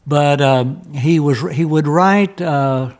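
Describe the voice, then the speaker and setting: A man's voice: a little high, slow, darkish tone. A man, a musician guest, telling stories in a casual, rambling chat and searching for his words.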